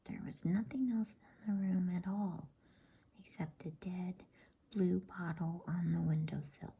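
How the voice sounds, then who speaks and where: A woman reads a bedtime story as ASMR in a very breathy, hushed whisper. Her delivery is slow and gentle, with a mellow, dark softness to it. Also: on the low side, steady tone.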